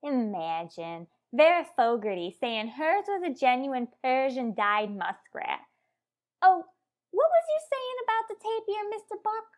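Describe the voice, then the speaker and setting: A woman's voice: sits high, big pitch swings, a little slow. A woman playing a naive, chatty young woman with slangy speech in an old-time radio comedy. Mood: gushing. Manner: chatty, wide-eyed, slangy. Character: naive gossipy adult woman, tavern owner's daughter.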